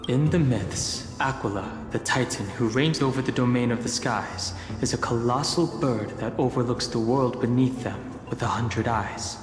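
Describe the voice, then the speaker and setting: An actor playing a calm, analytical young traveler in a fantasy video game, speaking in a measured, contemplative way. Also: male voice, medium pitch, natural voice. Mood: pensive. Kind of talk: English-voiced fantasy game dialogue and monologue. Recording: echoey reverb, music underneath.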